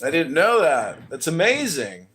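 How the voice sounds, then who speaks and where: American guy hosting a podcast, plays dumb and sarcastic, voice jumps all over, kinda high. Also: huge pitch swings, measured pace, a bit bright. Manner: feigns ignorance, sarcastic, swears. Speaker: podcast host. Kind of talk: provocative mock-naive questioning.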